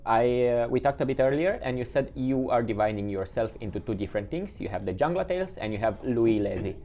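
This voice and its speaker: A man hosting a podcast, asking friendly questions quickly with some fillers. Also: medium pitch, lively intonation, dark tone, muffled recording, slight background noise. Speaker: podcast host. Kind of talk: casual podcast interview.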